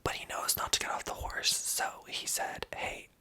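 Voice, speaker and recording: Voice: normal speed, bright, forceful tone. A storyteller retelling an old legend in a casual, chatty whisper.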